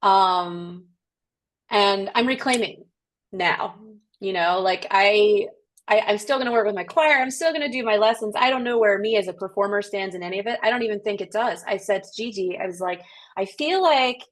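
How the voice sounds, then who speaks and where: A woman singer talks warmly to her live viewers. She is chatty and emphatic, heard over a video call. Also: mid-range voice, normal speed. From Ireland. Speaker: singer and co-host. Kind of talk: casual live-stream chat.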